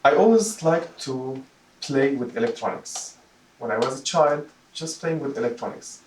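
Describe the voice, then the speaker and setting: A man from Jordan delivers a personal speech in a roomy, echoing space. He is picked up from a distance, his voice mid-pitched, and he moves haltingly through his phrases. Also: a little mellow, slight background noise. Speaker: club member giving a first speech. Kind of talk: prepared personal speech to a club audience. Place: meeting room, recorded from a distance. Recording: roomy echo, distant microphone.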